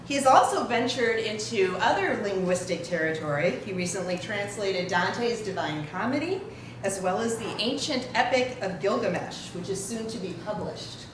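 A woman's voice: medium pitch, expressive, normal speed. Formal woman speaker in a very echoey hall. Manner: formal, careful phrasing. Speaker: event introducer. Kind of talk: prepared formal introduction speech. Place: indoor hall with an audience. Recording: very echoey hall, distant, noisy.

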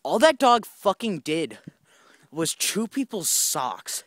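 A man's voice: sits high, sing-song, slow, a bit bright, no room sound, studio quality. A young man walking a wooded trail, telling a rambling, profane story into a handheld phone full of fillers and restarts. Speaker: vlogger. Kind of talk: rambling walk-and-talk storytelling. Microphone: handheld phone camera while walking.

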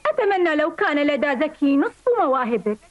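A woman voicing a kindly, patient insect mother in a cartoon, gentle and coaxing. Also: high voice, animated, brisk. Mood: reassuring. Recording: dull lo-fi old cartoon dub, faint music.

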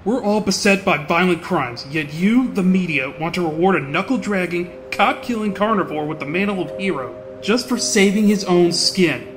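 A man's voice: high voice, lively intonation, moderate pace, few pauses, fairly bright tone, soft background music. A man playing a pompous, indignant mayor who orates like a grandstanding politician in a comic-book audio drama. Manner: oratorical.

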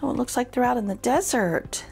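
A woman reading a picture book aloud for children in an expressive, encouraging way, addressing young listeners directly with clear emphasis. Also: on the high side, brisk, faint music behind. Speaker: children's storytime reader.

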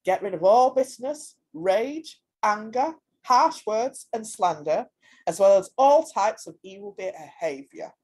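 A woman reads scripture aloud in a husky, slightly rough voice. Her pitch moves widely from phrase to phrase, making the reading lively and expressive. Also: measured pace. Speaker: scripture reader.

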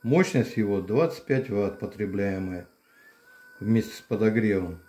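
An older man casually demonstrating a massager at home, explaining plainly with pauses and fillers. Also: fairly low pitch, mellow. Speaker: channel host reviewing a massager. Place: home room, indoors. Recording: echoey room.

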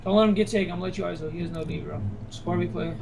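A man's voice: high-pitched, rapid-fire, gravelly, a little hiss or hum, low-quality recording. A man streaming live gameplay, talking to his teammates in profane, slangy speech. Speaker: gaming streamer. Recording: loud music under the voice. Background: loud music.

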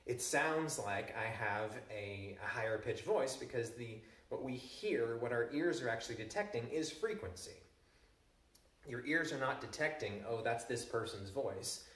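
A man in his thirties, a chemistry teacher, giving a relaxed classroom demonstration with frequent ums. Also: medium pitch. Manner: relaxed explaining with frequent ums, handling balloons. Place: large living room with a stone wall, indoors. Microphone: camera at a distance. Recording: echoey room, voice from far off.

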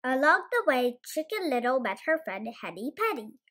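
A young female storyteller reads a children's tale. The voice is high and very lively in its melody, with expressive delivery throughout. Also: moderate pace. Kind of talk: children's bedtime story reading.

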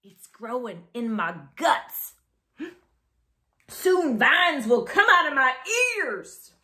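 A woman voicing a dramatic young crocodile who loves watermelon and panics easily, exclaiming in drawn-out words for a children's storybook. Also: high-pitched, sing-song, sharp tone, full character voice. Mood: panicked. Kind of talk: children's storybook read-aloud.

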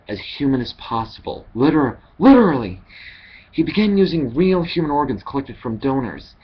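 A young man reading scripted dramatic lines as an amateur voice actor, haltingly and with repeated words, into a headset microphone at home. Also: medium pitch, slightly rough. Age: young adult. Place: wood-panelled living room at home. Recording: dull headset audio with background hiss.

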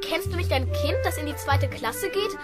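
High-voiced, earnest little boy, acted by a woman. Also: animated, normal speed, over loud music. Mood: polite, hesitant. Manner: precise, polite, formal diction. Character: earnest, well-mannered, dutiful seven-year-old boy. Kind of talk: radio play acting.

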